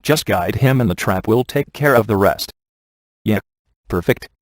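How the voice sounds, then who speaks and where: A man's voice: fairly low pitch, expressive, a little slow, dry recording. A man playing a wisecracking, laid-back ghost hunter in a video game, with a dry, sardonic and glib delivery. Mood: coaching, smug. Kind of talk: scripted video game character dialogue.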